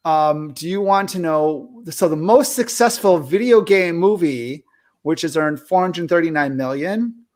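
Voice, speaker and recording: A man with a slightly high-pitched voice hosts a casual group chat. He speaks in a halting way, often repeating a word or phrase before he carries on. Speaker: podcast host. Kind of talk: casual group discussion of movies.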